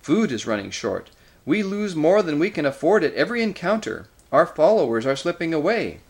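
A man's voice: fairly high pitch, very animated, normal speed, voice pushed up. A man voicing a bitter, angry dwarf rebel in a storybook, snarling, scornful and accusing. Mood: contemptuous, impatient. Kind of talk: dramatic audiobook character reading.

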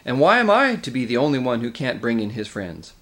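A man's voice: medium pitch, big pitch swings, a little fast, a bit bright. A man voicing a bitter, angry dwarf rebel in a storybook, snarling, scornful and accusing. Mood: furious. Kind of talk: dramatic audiobook character reading.

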